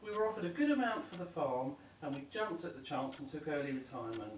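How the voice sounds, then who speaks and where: A woman's voice: sits low, animated, fast talker, a little hiss or hum. A woman playing a hospitable but guarded older retired farmer in an audio drama. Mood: guarded, rueful. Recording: roomy, distant from mic, faint music.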